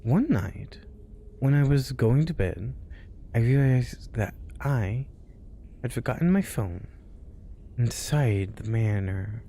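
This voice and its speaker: A man telling spooky stories slowly and softly, often sinking into a whisper. His pitch swings up and down a lot, and a faint background hiss sits under his voice. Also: animated. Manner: hushed, often dropping to a whisper. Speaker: storyteller host. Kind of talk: reading spooky stories aloud.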